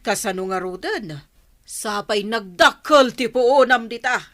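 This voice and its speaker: A woman acting in an Ilocano radio drama as a sharp-tongued, money-hungry village wife, feisty and full of complaint. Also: fairly low pitch, expressive, measured pace, no echo, studio quality. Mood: worried.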